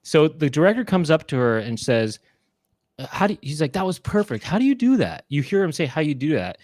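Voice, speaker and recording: A man's voice: a little high, sing-song, fairly quick, a little mellow, dry recording, studio quality. A man in his thirties co-hosting a casual live online chat show from a small room at home, talking into a handheld mic in a rambling, self-correcting way. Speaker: co-host. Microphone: handheld mic with headphones.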